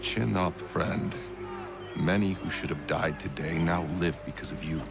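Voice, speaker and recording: An actor playing a weary, gruff but consoling comrade in a video game, measured and subdued, with a gravelly voice. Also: male voice, low voice, music in the background. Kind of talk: dramatic video game acting.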